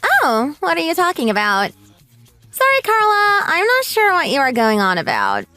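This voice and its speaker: Woman doing a snobby bully mum for audio drama, sneery, high voice, over the top, music behind. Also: huge pitch swings, moderate pace, pushed and bright, no room sound, heavily acted. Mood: mock innocent, boastful. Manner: haughty, sneering, exaggerated. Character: snobbish, bullying rich neighbour mother, haughty and scornful. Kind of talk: radio drama dialogue.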